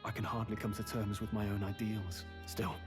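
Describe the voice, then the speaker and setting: A man's voice: on the low side, level tone, normal speed, natural voice. A man playing an earnest, brooding young nobleman in a fantasy video game, speaking in a formal, articulate way. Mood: thoughtful. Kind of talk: video game dialogue acting. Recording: loud music behind the voice.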